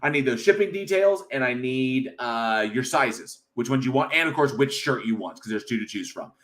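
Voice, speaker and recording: A man's voice: mid-range voice, lively intonation, moderate pace, a bit bright. An American man hosting a casual livestream, rambling with many fillers and reading chat aloud from home. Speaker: livestream host.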